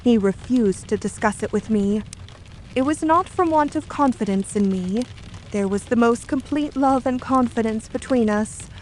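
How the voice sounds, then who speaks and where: A woman's voice: on the high side, a little hiss or hum, close to an everyday voice, poor audio. A woman playing a composed, guarded English wife of a murdered man in an audio drama, speaking earnestly and formally.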